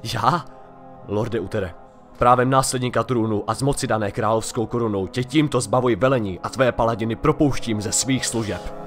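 A man's voice: mid-range voice, natural voice. A man playing a proud, hot-tempered young prince in a fantasy audio drama, speaking coldly and imperiously. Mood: cold, commanding. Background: music.

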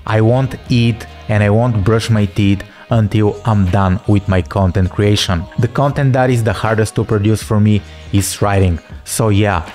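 Low-voiced man with a Bulgarian accent. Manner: steady, earnest, instructive delivery. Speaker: content creator. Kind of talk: scripted talking-head advice. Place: living room at home. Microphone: large studio mic on a stand. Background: soft background music.

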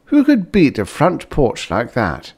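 A man's voice: medium pitch, wildly swooping pitch, unhurried, darkish tone, pitched above the performer's own voice, full character voice. A man playing an earnest, upbeat, proud pioneer husband in an audiobook story, eager and a little boastful.

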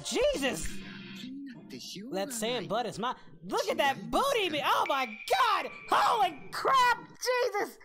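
A man playing an excitable, lecherous, cheerful anime warrior in a parody, hosting a casual reaction video in character. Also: very high voice, slow, music in the background, full character voice. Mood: flustered, excited. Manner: excitable, bawdy, exclaiming.

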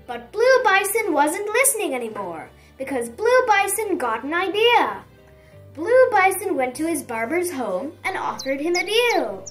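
A woman narrates a picture book for young children in a high, very animated voice. She takes her time, leaning on words expressively. The mic sounds a little distant and soft music plays behind her. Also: a little slow. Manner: expressive, emphatic storytelling. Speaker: children's storybook reader. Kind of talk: dramatic read-aloud narration for young children.

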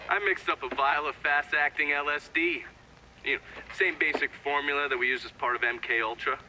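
Cocky agent, noisy recording. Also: male voice, a little high, steady tone, a little fast, sharp tone. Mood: smug, candid. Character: cocky, foul-mouthed male government agent giving testimony. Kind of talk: video game acting, testimony.

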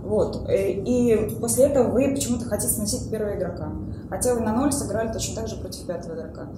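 A woman's voice: medium pitch, quick pace. A woman at a mafia game table putting her reasoning to another player, checking it with him. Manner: questioning, checks with the listener. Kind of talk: game argument. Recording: echoey room from a distance with hiss.

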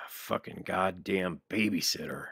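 A man's voice: low voice, slow pace, bright, forceful tone, husky, no room sound, studio quality, heavily acted. A man voicing a bitter, laconic ex-military sniper, terse and grumbling, in a motion comic. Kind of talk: motion comic character acting.

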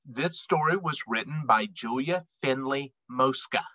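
A middle-aged man reading a children's picture book aloud expressively, with emphatic, dramatic phrasing and deliberate pauses. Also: mid-range voice, sharp tone, no room sound. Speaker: read-aloud storyteller.

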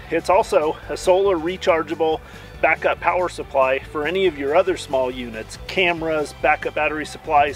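A man in his forties giving an enthusiastic, steady walkthrough of camping gear, recorded outdoors at a campsite. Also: a little high. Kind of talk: product review. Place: outdoors in a dry juniper woodland campsite.

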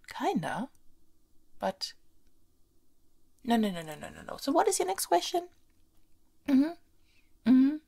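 A woman playing a haughty, bossy but playful young princess in an ASMR roleplay, soft and breathy, often slipping into whispers. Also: mid-range voice, exaggerated voice. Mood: haughty, teasing. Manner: imperious, teasing, repeats phrases insistently.

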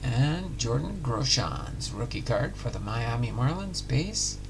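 Middle-aged guy opening packs, slow and gravelly, lots of noise behind him. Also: medium pitch, very animated. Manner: unhurried, announcing each card plainly. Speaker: card-breaking channel host. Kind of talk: casual pack-opening commentary. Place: home room with card displays.

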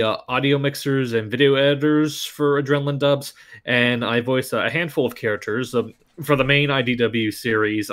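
A man's voice: mid-range voice, a bit bright. A man speaking casually and a little hesitantly as a voice actor on a panel. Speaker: voice actor and audio mixer on a panel. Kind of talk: casual self-introduction and chat.

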